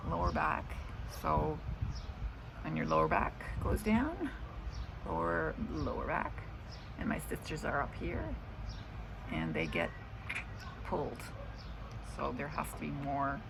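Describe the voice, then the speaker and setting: A woman's voice: sits low, animated, slow pace, creaky, long pauses, noisy background. A middle-aged woman in casual, rambling chat about her day, drifting off into asides. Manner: meandering, trailing off into asides. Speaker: vlogger.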